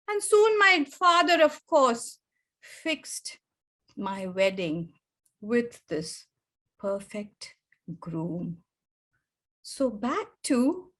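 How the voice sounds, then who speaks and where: Slow, high-voiced older woman storyteller. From India. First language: Tamil. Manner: expressive, with wide swings in pitch and loudness. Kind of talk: personal story performed for an audience.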